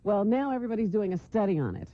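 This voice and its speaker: A woman's voice: medium pitch, sing-song, racing, no room sound. A woman reading news on a radio show in chatty banter, laughing often, on a lo-fi, phone-like broadcast recording. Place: New York radio studio.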